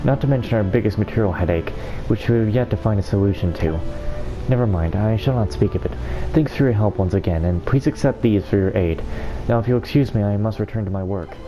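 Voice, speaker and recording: A man's voice: fairly low pitch, quick pace. A man hosting a gaming video, giving casual playthrough commentary and reading dialogue aloud. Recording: dull sound, faint game music.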